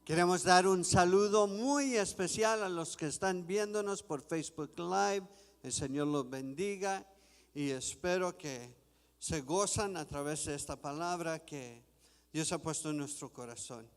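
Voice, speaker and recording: A man preaching a sermon in a church, reading scripture slowly and deliberately. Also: on the high side, smooth, big-room sound. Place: church sanctuary.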